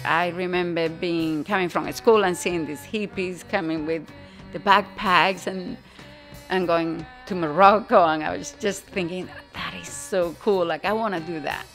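A woman's voice: fairly low pitch, measured pace, soft background music. Older Spanish-accented woman telling stories. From Spain. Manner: animated, imitates drum beats with her mouth. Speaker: guest musician. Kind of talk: casual interview storytelling.

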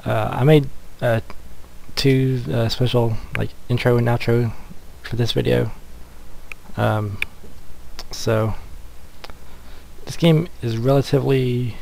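A man hosting a casual Let's Play with a hesitant, halting delivery. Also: medium pitch, fairly even intonation, creaky, a little mellow, slight background noise, low-quality recording. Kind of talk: casual game commentary.

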